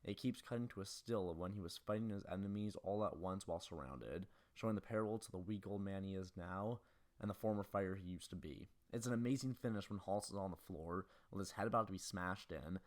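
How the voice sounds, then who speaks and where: A man reading a scripted film analysis as host of a video essay. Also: low voice.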